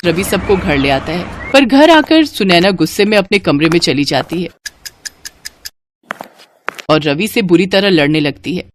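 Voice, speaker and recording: A woman's voice: expressive, unhurried, pauses often, slight background noise. Dramatic woman narrating a cartoon story. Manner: dramatic storytelling, rising to shrill anger in quarrels. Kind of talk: scripted cartoon narration voicing all female roles.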